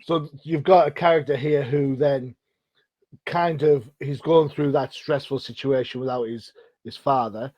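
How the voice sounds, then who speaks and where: A man's voice: medium pitch, steady tone, unhurried, a little mellow, no room sound, dull audio. A middle-aged man from Britain hosting an interview, chatty and self-deprecating, with rambling questions. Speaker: podcast host. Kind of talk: casual interview chat.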